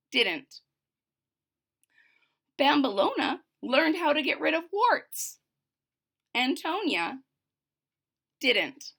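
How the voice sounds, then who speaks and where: Expressive woman reader, high bright voice. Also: creaky. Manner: expressive, teacherly. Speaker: storybook reader. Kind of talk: read-aloud narration with simple explanations for children.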